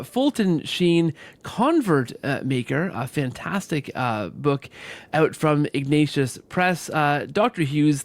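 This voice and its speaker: A man with a slightly high-pitched voice hosts a conversational interview show. He is upbeat and effusive, often circling back on his own phrases. The sound is very dry, with hardly any room on it. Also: no room sound. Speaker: podcast host.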